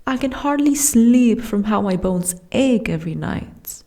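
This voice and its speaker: A woman voicing a gentle, wistful young giantess princess with courtly, formal phrasing in a soft ASMR fantasy roleplay. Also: medium pitch, animated, a little slow. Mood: weary, wistful. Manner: formal, archaic, genteel phrasing.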